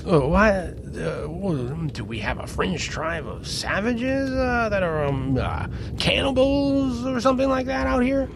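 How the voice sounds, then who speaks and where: A man's voice: high-pitched, animated, a little slow, gravelly, few pauses, full character voice. An actor playing an eager, talkative newspaperman in a western audio drama, with a hesitant, stammering, wordy delivery. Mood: nervous, alarmed. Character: eager, jittery, talkative male newspaperman out west. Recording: noisy ambience behind the voice.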